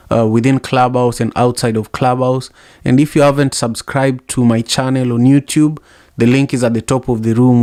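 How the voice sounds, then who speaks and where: A man's voice: medium pitch, darkish tone, smooth, no room sound, clean studio sound. Effusive man hosting a live audio room. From Kenya. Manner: effusive, repeats himself while searching for words. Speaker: host. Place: home setup, live audio app.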